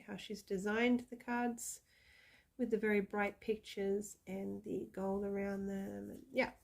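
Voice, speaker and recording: A woman in her thirties talks slowly and chattily, her voice carrying a gravelly fry. She sounds slightly hoarse from a cold and fills her talk with ums. Her pitch sits in a middle range. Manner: chatty, many ums, gushing. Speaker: psychic reader showing tarot decks. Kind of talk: casual show-and-tell chat.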